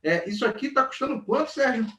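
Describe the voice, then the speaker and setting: A man's voice: very high-pitched, expressive, quick pace, fairly bright tone, slight background noise. A man in his forties with a Brazilian accent co-hosts a comics review in casual, colloquial chat. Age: 40s. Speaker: co-host of a comics review channel. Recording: roomy echo, distant mic.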